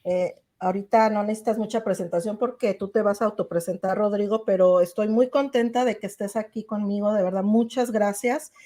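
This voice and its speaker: Mellow-voiced woman hosting a faith podcast. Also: medium pitch, fairly even intonation, fairly quick, hardly any pauses. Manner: warm, effusive, grateful, with many "eh" fillers. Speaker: podcast host. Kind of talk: casual interview chat.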